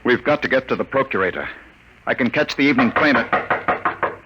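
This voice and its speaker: An actor playing an urgent, defiant scientist in a 1950s radio drama. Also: male voice, medium pitch, expressive, normal speed, natural voice. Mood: alarmed. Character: urgent, defiant male scientist, galactic citizen under arrest. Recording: vintage radio recording with faint noise.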